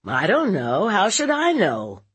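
A woman reader plays a sulky teenage boy in a storybook scene. He is evasive and defensive, with curt answers in a husky, unhurried voice. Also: mid-range voice, wildly swooping pitch, voice pushed up, heavily acted. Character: sullen, evasive seventeen-year-old boy.

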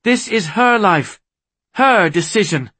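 Guy doing a stern lady warrior, pitched way up, firm and challenging, fantasy audiobook, really dry sound. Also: animated, takes it slowly, sharp tone, breathy edge, no echo, heavily acted. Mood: alarmed, defiant. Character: stern protective female guard with a sword, defiant.

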